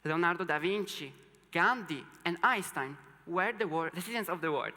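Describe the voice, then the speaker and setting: Casting: young adult student speaker, native Polish, forceful bright voice, earnest stage talk, long hall reverb. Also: mid-range voice, lively intonation, normal speed. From Poland, moved to Italy as a teenager. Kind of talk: rehearsed public talk. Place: large indoor hall or stage.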